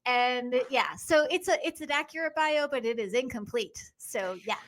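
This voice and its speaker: American woman guest on a podcast, really high bright voice, blunt and animated, pokes fun at herself. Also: moderate pace, slight background noise. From New York, United States. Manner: self-deprecating, frank, animated. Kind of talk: candid interview conversation.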